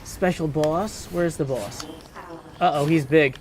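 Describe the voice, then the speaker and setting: A man's voice: a little high, slow pace, over music. A man giving casual live gameplay commentary as a streamer, thinking aloud as he makes his decisions. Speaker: game streamer. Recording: clear background game noise.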